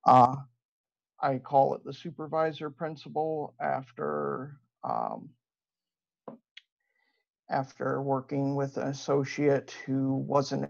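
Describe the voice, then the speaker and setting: A man with a Midwestern accent delivers a debate opening slowly, stopping often for fillers and restarts. His voice is heavily gravelly and sits a little high, and a dull, lo-fi mic flattens the sound. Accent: Midwestern American. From Ohio, USA. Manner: hesitant, many fillers and restarts.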